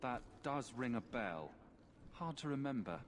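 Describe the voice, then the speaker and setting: An actor playing a wry, sardonic mercenary hero in a video game, flippant about danger and killing. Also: male voice, mid-range voice, big pitch swings, a little slow, slight background noise. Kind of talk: dramatic video game voice acting. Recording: game dialogue with music underneath.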